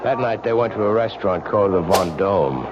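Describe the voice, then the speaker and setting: A man playing an earnest, persistent police lieutenant with a deep voice. He confides urgently, in the manner of radio mystery drama. The old recording is muffled and noisy. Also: expressive, fairly quick, lightly acted. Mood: grave, matter-of-fact.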